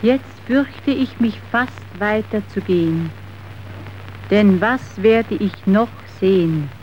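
An actress playing an earnest, apprehensive young woman in a radio drama, grave and deliberate in delivery. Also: medium pitch, lightly acted. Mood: apprehensive, gentle. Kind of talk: scripted radio drama acting. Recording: dull, faintly hissy old radio recording.

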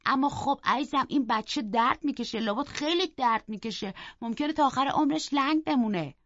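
A woman's voice: high-pitched, no room sound, muffled recording. A woman voicing a snobbish, fussy middle-aged upper-class mother in an audiobook, haughty and scandalised. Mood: scandalised, concerned. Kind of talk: dramatic audiobook character.

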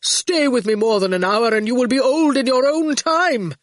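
A woman voicing a theatrical, quick-tempered young man in an imperious, exclamatory manner for a storybook. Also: a little high, no echo, clean studio sound, voice pushed up, lightly acted. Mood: playful warning.

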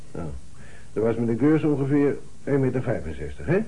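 An actor in a Dutch radio play playing a police commissioner who questions insistently and a little patronisingly. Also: male voice, medium pitch, very animated, fairly quick, dark tone, breathy edge. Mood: patiently pressing. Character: probing, dryly insistent male police commissioner. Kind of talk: Dutch radio play acting, interrogation dialogue. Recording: noisy old radio play recording.

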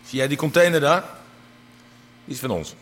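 An actor playing a practical, questioning underworld sidekick in a naturalistic radio drama, talking casually and matter-of-factly. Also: male voice, medium pitch, very animated, moderate pace, long reverb tail, noisy background, natural voice. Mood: practical, wary.

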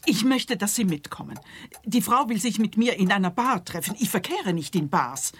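Husky, prim, anxious older mother. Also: mid-range voice, expressive, normal speed. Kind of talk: radio play acting.

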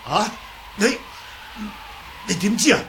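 A man's voice: high voice, sing-song, slow, fairly bright tone, a little hiss or hum, heavily acted. A man acting a proud, hot-tempered older martial arts master, blustering indignantly in a Cantonese radio drama. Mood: startled.

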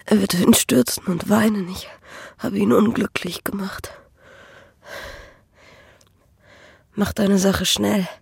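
A woman's voice: mid-range voice, steady tone, unhurried, vocal fry, darkish tone, breathy edge, dry recording, clean studio sound, full character voice. An actress playing a dying young peasant woman in a radio play, sorrowful and bitter, with a weak, whispery voice and solemn delivery. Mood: weak, fearful. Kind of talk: German radio play acting.

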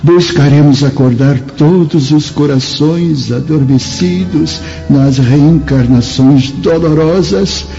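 Um homem interpretando um velho e sábio mestre espiritual, paternal e sereno, que prega com solenidade em radionovela religiosa. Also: male voice, on the high side, creaky, mellow. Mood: serene, fatherly. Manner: grave, preaching, measured. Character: wise old male spirit master, serene and fatherly. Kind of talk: solemn religious radio drama acting. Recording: dull radio drama recording, music behind.

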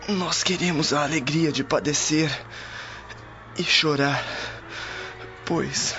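A devout martyr reciting a prayer in a radio drama. His voice sits a little high and is solemn and pleading. Music plays clearly behind him on a muffled recording. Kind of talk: audio drama acting. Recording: dull lo-fi audio, loud music behind.

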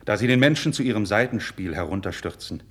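A man's voice: lowish voice, lively intonation, fast, breathy edge. An actor playing an idealistic, proud young nobleman in a classical radio drama, with earnest, declamatory, formal diction. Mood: grave, reproachful. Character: idealistic young nobleman, passionate, proud, candid before his king. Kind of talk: 1950s German radio drama, classical verse acting.

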